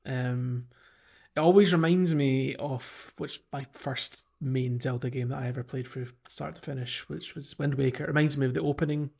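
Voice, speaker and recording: Male podcast co-host, gravelly medium-pitched voice, loose rambling game chat. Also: fairly even intonation, normal speed, darkish tone, lo-fi sound. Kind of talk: casual chat about a video game.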